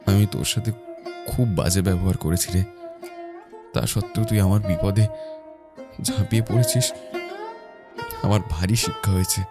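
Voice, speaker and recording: A man's voice: low voice, steady tone, normal speed, long pauses. A man playing a stern but remorseful grown son and husband in a melodramatic cartoon. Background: music.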